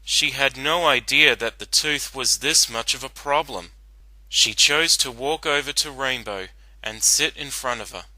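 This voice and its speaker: A man's voice: mid-range voice, a little slow, bright, forceful tone, no room sound, rough recording. A man narrating a story as a scripted dramatic reading.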